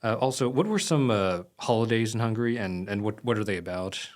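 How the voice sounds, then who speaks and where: Fast-talking man hosting a podcast. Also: lowish voice, expressive. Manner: quick, chatty, many fillers. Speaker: podcast host. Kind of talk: casual interview.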